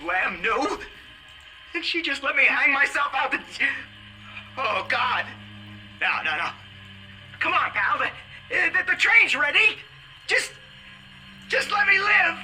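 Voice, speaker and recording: An actor playing a shifty, cowardly schemer in a video game, with a slippery, wheedling manner. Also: male voice, very high voice, big pitch swings, takes it slowly, long pauses, pushed and bright, soft background music, full character voice, rough recording. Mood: panicked, pleading. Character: shifty, scheming, cowardly male security-booth weasel.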